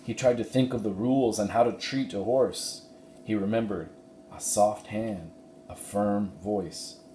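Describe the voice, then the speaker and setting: A man giving an expressive narration of a children's storybook. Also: lowish voice, a little slow. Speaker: story reader. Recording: roomy echo, faint background noise.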